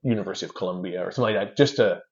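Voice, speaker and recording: A man from Canada chats as an interview guest, his low voice lively and full of quick restarts. The sound is dull and lo-fi, like a remote call. He tells his stories loosely and casually. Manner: animated, repeats words in quick restarts. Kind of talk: casual chat, storytelling.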